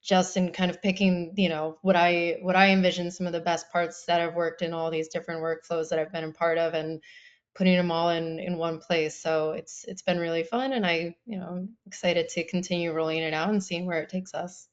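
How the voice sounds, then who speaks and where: A woman's voice: on the low side, little pitch movement, fairly quick, smooth, lo-fi sound. A woman based in Brooklyn, a production and studio lead on a webinar panel, talking casually with many fillers such as "kind of" and "you know". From based in Brooklyn, New York.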